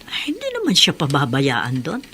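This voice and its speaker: A woman's voice: deep voice, wildly swooping pitch, moderate pace, sharp tone, slight background noise, exaggerated voice. An actress with a Filipino accent playing a stubborn but loving middle-aged mother in a radio drama. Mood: firm, dismissive.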